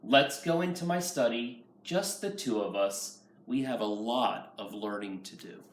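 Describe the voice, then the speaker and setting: A man voicing a patient, reassuring old grandfather in a children's storybook, gentle and encouraging. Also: medium pitch, a little slow, pitched below the performer's own voice, lightly acted. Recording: roomy, far from mic, faint noise.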